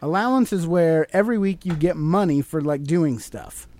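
Animated male podcast host, casual and playful. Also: fairly high pitch, moderate pace. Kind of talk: casual chat with a child.

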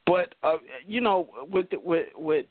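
A man's voice: high voice, measured pace. A man calling in to a talk show, eager and tumbling over his words, repeating himself as he goes. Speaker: caller. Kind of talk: call-in chat. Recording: tinny phone line.

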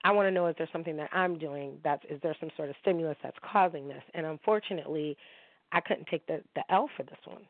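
A woman's voice: sits low, quick pace, no room sound. A woman hosting her own internet radio show, talking candidly and emotionally to listeners while sounding drained. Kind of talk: casual monologue. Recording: dry, tinny phone-line sound.

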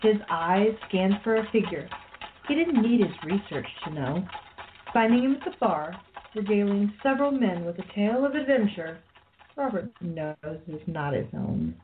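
Woman narrating a radio play script, medium pitch, dull muffled audio. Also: big pitch swings, moderate pace, a little hiss or hum.

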